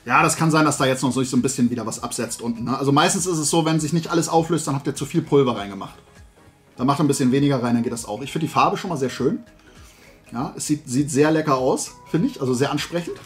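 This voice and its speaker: A man's voice: medium pitch, expressive, quick pace, faint music behind. A middle-aged man chatting casually and colloquially as the host of a hobby video, rambling along in a loose, talkative way. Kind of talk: casual chat, product tasting and recommendations. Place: room at home lined with shelves of toy figures.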